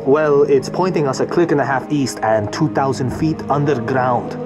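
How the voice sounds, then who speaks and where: Guy playing skeptical soldier, medium pitch, plain blunt talk, game score in the background. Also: normal speed, natural voice. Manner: matter-of-fact, colloquial. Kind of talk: military video game acting. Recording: game soundtrack music behind.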